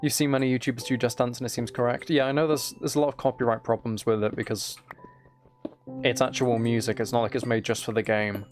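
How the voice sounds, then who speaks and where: A man livestreaming a game with a flat, mellow voice, rambling casually and softly to his viewers in a low-key way. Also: quick pace, faint music behind. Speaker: live streamer. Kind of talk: casual gaming livestream chat, soft ASMR-like. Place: desk at home, live stream.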